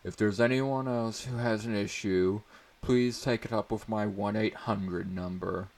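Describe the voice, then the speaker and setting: A man's voice: on the low side, fairly even intonation, unhurried, darkish tone, voice pushed up. Man putting on a bossy, sardonic woman teacher. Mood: brisk, commanding. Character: irritated, sardonic woman schoolteacher, theatrical and bossy. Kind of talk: dramatic reading of a story.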